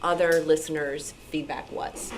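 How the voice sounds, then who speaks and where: A woman with a fairly low voice talks informally at a meeting. She explains in a halting, conversational manner. There is faint room noise behind her. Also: level tone. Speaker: radio show programmer answering listener questions. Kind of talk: informal talk at a club meeting.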